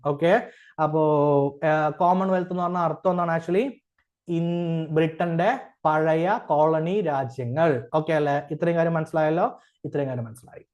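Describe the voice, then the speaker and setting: A man's voice: a little high, a little mellow, muffled recording. A man with a Malayali accent giving an informal lecture as an exam coach, asking rhetorical questions, often checking for understanding and mixing in English terms. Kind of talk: informal classroom-style lecture.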